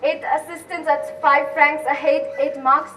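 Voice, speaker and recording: High-voiced young woman as a spirited bride-to-be. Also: little pitch movement, measured pace, sharp tone. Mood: puzzled, indignant. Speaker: student actor. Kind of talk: amateur stage play acting. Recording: distant mic, noisy room.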